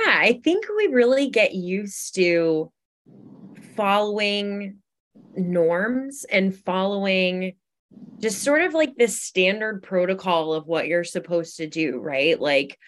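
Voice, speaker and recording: Female interview guest, conversational and reflective, slow-paced delivery, medium pitch. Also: expressive. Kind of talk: conversational self-help discussion.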